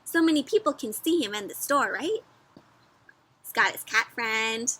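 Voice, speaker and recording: Bright, high-voiced woman reading to kids. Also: normal speed. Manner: expressive, encouraging, asks frequent questions to young listeners. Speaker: children's storybook read-aloud host and teacher. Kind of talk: interactive read-aloud for young children.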